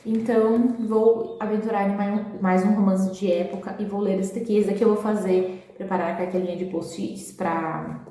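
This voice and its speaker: A Brazilian woman chatting casually at home for a reading vlog, often ending sentences with a quick "right?". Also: medium pitch, steady tone, dark tone. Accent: Brazilian Portuguese. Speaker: book vlogger. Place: at home, echoey room. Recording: very echoey room, faint background hiss.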